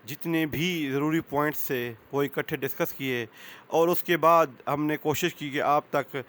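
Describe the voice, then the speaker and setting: A man's voice: a little high, a little slow, fairly bright tone. A man with a Pakistani accent giving an explanatory lecture as a lawyer, mixing in English legal terms. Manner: switches between Urdu and English legal terms.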